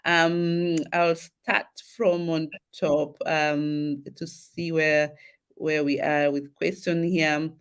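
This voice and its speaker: A woman's voice: low-pitched, little pitch movement, drawn-out words, clean tone. A woman hosting an online talk show, encouraging her audience and often restarting her sentences.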